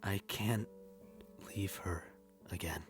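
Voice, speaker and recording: A man's voice: low-pitched, slow, over music. An actor playing a bitter, unhinged widowed middle-aged father, sardonic and menacing, in a dramatic comic dub. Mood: brooding, menacing.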